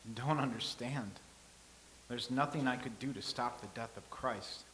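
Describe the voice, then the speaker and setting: A man's voice: mid-range voice, moderate pace, a bit bright, close to an everyday voice, rough recording. Man reading an ancient scribe: earnest, solemn, philosophical; medium voice, light hiss. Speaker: actor in a staged reading. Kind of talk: staged play reading.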